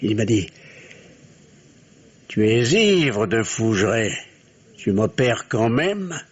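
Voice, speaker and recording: An actor playing a remorseful, weary middle-aged father in a radio drama, husky and grave. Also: on the low side, very animated, slow pace, dull audio, exaggerated voice. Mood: grave confession. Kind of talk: French radio drama acting.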